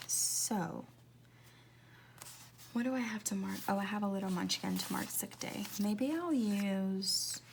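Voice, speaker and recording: Woman, twenties, casual voice-over, rambling and confiding, soft whispered asides, slight vocal fry. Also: mid-range voice, a little slow, a little hiss or hum. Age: 20s. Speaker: planner vlogger. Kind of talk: casual chatty voice-over while decorating a planner.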